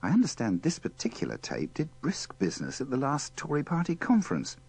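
A man's voice: on the high side, wildly swooping pitch, a little fast, vocal fry, darkish tone, breathy edge. A man presenting in scripted, wry narration with a dry, tongue-in-cheek manner.

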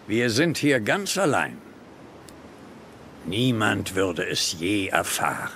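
An actor playing a spiteful bully at a hospital in a dubbed TV comedy, taunting and mock-menacing. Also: male voice, fairly low pitch, sing-song, slow pace, pushed and bright, slight background noise, heavily acted. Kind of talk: German TV comedy dub acting.

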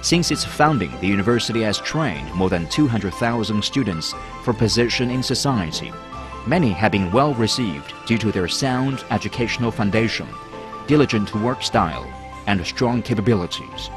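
A man reading a formal, measured promotional narration as a voice-over. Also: lowish voice, animated. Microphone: studio voice-over. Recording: voice-over over loud music. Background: music.

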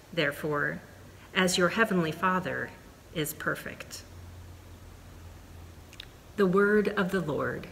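A middle-aged woman pastor leading a prayer and reading scripture in a measured, deliberate way, on a lapel mic in a church sanctuary. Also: mid-range voice, a bit bright. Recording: reverberant sanctuary, faint room noise.

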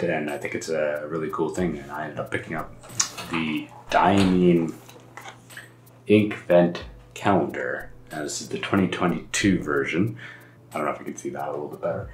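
Hoarse thirties man, very deep, excited rambling host, roomy room with faint hiss. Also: moderate pace. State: lost voice, hoarse. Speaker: stationery vlogger. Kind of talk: casual show-and-tell. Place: home study with lamps and bookshelves.

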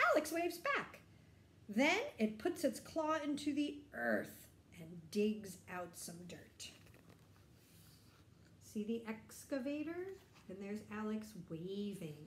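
Animated woman reading aloud, roomy sound. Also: a little high. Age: middle-aged. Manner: animated, explanatory, addressing young listeners. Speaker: teacher reading a picture book aloud to children. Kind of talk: children's read-aloud with simple questions and explanations. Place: bright indoor room.

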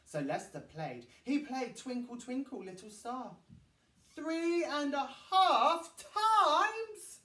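A man's voice: extremely high pitch, lively intonation, slow, pushed and bright. A man in his thirties reading a storybook to children in an exaggerated, sing-song way. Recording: roomy echo, distant microphone.

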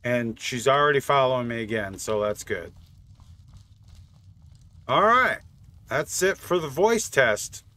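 A man's voice: mid-range voice, animated, a little slow, long pauses, sharp tone. A man giving casual, offhand gameplay commentary, thinking aloud as a channel host. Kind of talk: casual game mod test commentary.

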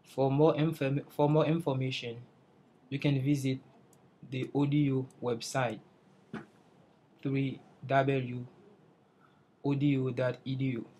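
A young man from Haiti walks his classmates through a slide presentation in English, which he has been learning. He speaks slowly and carefully in a mid-range voice, sometimes restarting a phrase as he searches for the right words. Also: medium pitch, little pitch movement, mellow, slight background noise. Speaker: English language student presenting.

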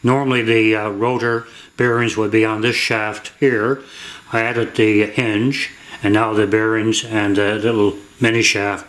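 An older man explains a hands-on job in a fairly low voice with a clear, bright edge. He speaks haltingly and deliberately, matter-of-fact throughout. The garage around him gives the sound a strong room echo. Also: flat delivery. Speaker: DIY demonstrator. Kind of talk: hands-on how-to narration. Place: indoor garage workshop with hard floor.

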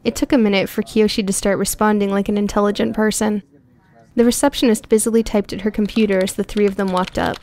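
A woman reading a prose story aloud in an even, clear storytelling voice, recorded close on a studio mic. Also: medium pitch, fast talker. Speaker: audiobook narrator. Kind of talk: scripted narration.

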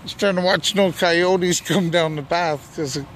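A man's voice: sits high, steady tone, measured pace, a bit bright. A man, chatting casually and informally as he films an outing outdoors. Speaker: vlogger filming a night magnet fishing outing. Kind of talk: casual narration and chat while filming. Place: outdoors on a bridge walkway at night. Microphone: handheld camera or phone held by speaker. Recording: noisy outdoor recording with ambient hum.

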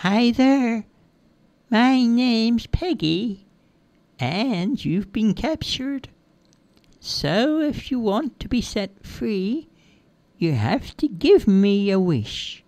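A storyteller acts out a bold little girl from a storybook who traps fairies and bargains hard. Her voice is pitched high and sing-song, with slow, drawn-out, insistent phrases. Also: big pitch swings, darkish tone, no echo, full character voice. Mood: bold, bargaining.

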